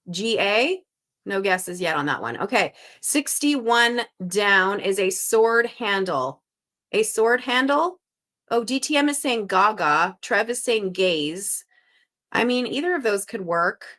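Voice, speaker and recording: Woman stream host from Canada: bright, crisp tone, easy chatter, reads guesses aloud, upbeat. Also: mid-range voice, expressive, measured pace. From Canada (Montreal). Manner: upbeat, chatty, thinks out loud. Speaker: host of a live crossword stream. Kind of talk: casual live-stream chat, reading viewer guesses aloud.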